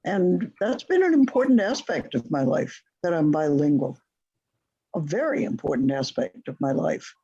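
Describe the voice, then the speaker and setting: A teacher leading a conversation class, speaking in a slow, deliberate way with long pauses, over a video call. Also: medium pitch, mellow, husky, lo-fi sound.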